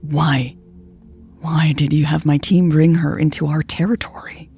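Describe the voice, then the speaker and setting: A woman's voice: sits low, fairly even intonation, unhurried, vocal fry, warm tone, soft background music. A woman reader voicing an angry, wounded woman leader who speaks in a clipped, accusing way in a romantic audio story. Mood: cold. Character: angry, cold, wounded woman leader confronting her lover. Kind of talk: audio fiction dialogue reading.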